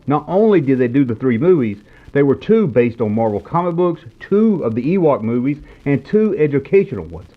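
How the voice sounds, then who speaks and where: A man's voice: medium pitch, big pitch swings, a little fast. An American man in his fifties narrating nostalgically in a scripted storybook style. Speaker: YouTube show host. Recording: tinny phone-like narrow-band sound.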